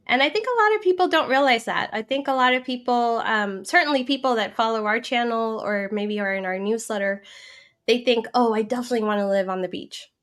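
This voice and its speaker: A woman with a slightly high voice hosts a live Q&A. She speaks informally and conversationally, putting questions to her guest and chatting along. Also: fairly quick, fairly bright tone. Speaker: host. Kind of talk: casual live Q&A interview.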